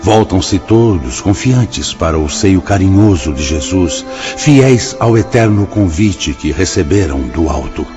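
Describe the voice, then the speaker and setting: A man's voice: deep voice, animated, measured pace, few pauses. An actor playing a serene, fatherly spirit mentor in a radio drama, solemn and preaching. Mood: solemn, comforting. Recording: dull audio under clear music.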